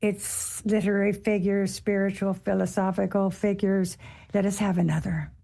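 A woman's voice: mid-range voice, flat delivery, normal speed, vocal fry, dark tone. A woman hosting a literary interview, speaking to her guest as interviewer. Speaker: interviewer, host.

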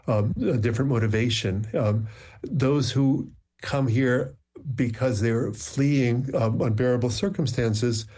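A man whose first language is Ukrainian, a poet speaking thoughtfully and reflectively in measured phrases as an interview guest. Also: mid-range voice, warm tone. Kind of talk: thoughtful conversation and poetry reading.

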